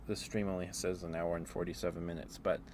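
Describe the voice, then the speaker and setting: Deep voiced guy doing casual asmr stream chat, slow n hesitant, noisy background. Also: flat delivery, darkish tone. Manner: rambling, hesitant, unhurried. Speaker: livestreamer.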